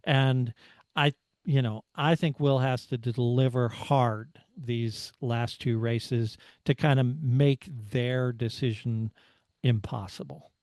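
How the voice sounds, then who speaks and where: A middle-aged American man co-hosting a motorsport podcast, talking through his analysis in a casual, measured way as he thinks aloud. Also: mid-range voice, steady tone, a little mellow, dry recording, studio quality. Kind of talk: casual race analysis chat.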